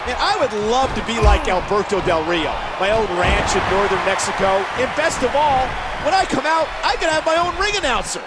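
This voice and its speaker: A man's voice: extremely high pitch, animated, fairly quick. A man voicing the play-by-play commentator in a wrestling video game, calling the action in a scripted, announcer-style delivery. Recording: game audio over loud crowd noise. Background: roaring arena crowd.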